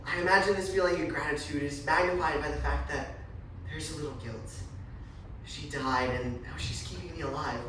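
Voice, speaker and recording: A woman's voice: deep voice, a bit bright. A woman playing a reflective, grateful mother in a dramatic stage monologue. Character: reflective, grateful mother living with donated heart. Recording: very echoey hall, distant, faint noise.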